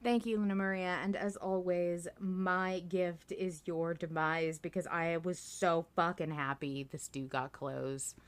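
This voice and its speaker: A woman's voice: on the low side, steady tone, measured pace, few pauses, clear, resonant tone. A woman hosting a casual podcast chat, emphatic and playfully argumentative, swearing freely. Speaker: podcast host. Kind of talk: casual fan discussion chat.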